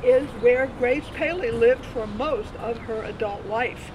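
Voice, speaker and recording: Older female tour guide, high-pitched, outdoors, headset mic, traffic noise behind. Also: measured pace. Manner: informative, addressing a group. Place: city street outdoors. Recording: loud city street noise.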